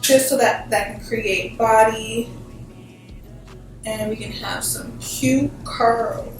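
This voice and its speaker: A woman's voice: on the high side, slow pace. A woman giving a casual, chatty hair tutorial in an informal, emphatic way, peppered with filler words like "literally". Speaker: beauty vlogger presenting a tutorial. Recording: very echoey room, distant mic, faint music.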